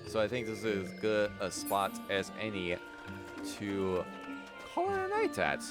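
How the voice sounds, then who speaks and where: Lively low-voiced man streaming a game. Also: measured pace, music in the background. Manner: rambling, profane, repeats himself. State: voice slightly strained. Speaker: livestream host. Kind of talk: casual game stream chat.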